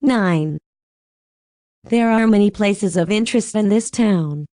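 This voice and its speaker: A woman reads numbered practice sentences for an English textbook very slowly and deliberately. Her voice sits at a middle pitch. The recording is close and dry. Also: mellow, dry recording. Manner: very slow, deliberate sentence dictation. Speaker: audio narrator for an English textbook. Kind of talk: scripted textbook listening narration.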